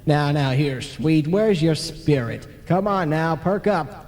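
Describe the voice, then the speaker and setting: Hearty old ghost, drawn-out, reverberant. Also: male voice, fairly high pitch, darkish tone. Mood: bold, reassuring. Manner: hearty, blustering. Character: jovial, bold older man, newly made ghost. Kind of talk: old-time radio comedy acting. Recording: reverberant radio play on analogue tape.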